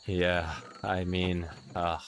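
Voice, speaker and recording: Young male streamer, New York accent, low voice, chatty and rambling, soft music underneath. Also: extremely slow. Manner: rambling, self-interrupting, playful, jumps up in pitch for excited questions. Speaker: Minecraft streamer. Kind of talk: casual live-stream chat, reading viewer comments.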